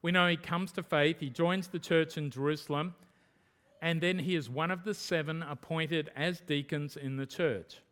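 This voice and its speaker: An Australian man delivering a sermon as the pastor, his voice sitting a touch higher than most men's. He speaks earnestly and emphatically, leaning into his main points and repeating them for weight. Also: steady tone, normal speed, fairly bright tone. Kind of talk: expository sermon.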